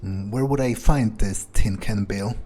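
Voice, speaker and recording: A man playing a smug, cynical vampire in a game roleplay, thinking aloud in a halting, sardonic way. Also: on the low side, close to an everyday voice. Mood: commanding. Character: smug cynical male vampire, talking to himself. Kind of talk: in-character gameplay roleplay, improvised muttering. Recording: game music behind. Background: video game music.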